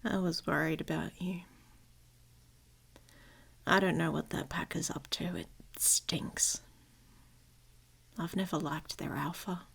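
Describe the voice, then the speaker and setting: A gruff she-wolf shifter acted by a woman in an intimate ASMR romance roleplay. Her voice is low and husky with a gravelly creak, often sinking toward a whisper. She talks slowly and bluntly, with a sarcastic, grumpy edge over a protective, affectionate streak. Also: exaggerated voice. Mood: protective, uneasy.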